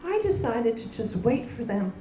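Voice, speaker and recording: A woman's voice: on the high side, moderate pace. Woman comic in a roomy, laughing club. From Canada. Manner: deadpan punchlines, bantering with the crowd. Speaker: stand-up comedian. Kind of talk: stand-up comedy set. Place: comedy club stage. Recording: roomy live club sound from a distance with crowd laughter.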